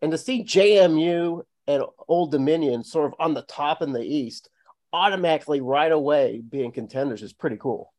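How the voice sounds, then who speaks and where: Relaxed podcast host man. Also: on the high side, moderate pace. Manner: relaxed, laughs along, casual swearing. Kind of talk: casual podcast chat.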